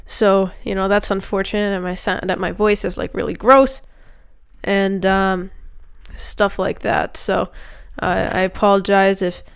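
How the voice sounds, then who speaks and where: A woman's voice: mid-range voice, flat delivery, measured pace, vocal fry, smooth. Chatty young woman with a cold. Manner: chatty, rambling with many fillers. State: sick with a cold, voice a bit rough. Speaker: gaming youtuber. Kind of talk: casual gameplay commentary.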